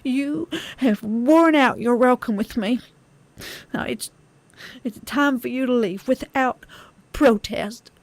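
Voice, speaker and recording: A woman playing a sensible, fed-up country woman in a comedic audio drama, halting and emphatic. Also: fairly high pitch, no echo.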